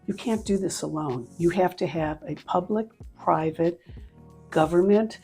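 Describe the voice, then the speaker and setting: An older woman from Cleveland speaks low and unhurried, her voice husky with a gravelly edge. She tells her story in a relaxed interview, pausing often between thoughts. Faint music sits underneath. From Cleveland, Ohio. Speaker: interviewee, nonprofit leader. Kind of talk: casual interview conversation.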